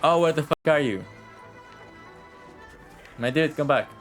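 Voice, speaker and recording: A man's voice: fairly high pitch, a little slow, fairly bright tone. A man giving casual live game commentary, rambling to himself and swearing now and then. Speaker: game streamer. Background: faint game music.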